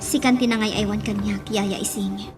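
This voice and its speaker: A woman's voice: mid-range voice, fairly even intonation, moderate pace, over music, lightly acted. A woman acting as an affectionate young lady talking to her nanny in an Ilocano radio drama.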